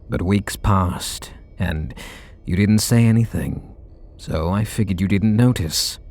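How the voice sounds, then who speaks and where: Man acting a low-voiced, brooding super villain. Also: creaky, darkish tone, a little hiss or hum, full character voice. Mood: anxious, confessing. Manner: sardonic, brooding, dramatic pauses. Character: brooding male super villain confessing hidden devotion. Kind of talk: scripted ASMR roleplay audio drama.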